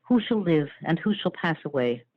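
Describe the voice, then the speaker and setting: A man with a fairly high voice recites poetry in a solemn, incantatory way. The recording is dry and thin, with a narrow phone-like sound. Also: expressive, normal speed, no room sound. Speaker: actor reading poetry.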